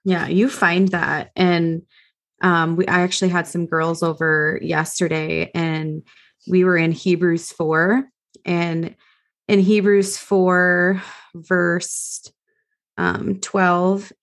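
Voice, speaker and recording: Woman co-host, low, gravelly voice, loose and informal. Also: flat delivery, takes it slowly. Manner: loose and informal, many fillers. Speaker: podcast co-host. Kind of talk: casual faith podcast chat.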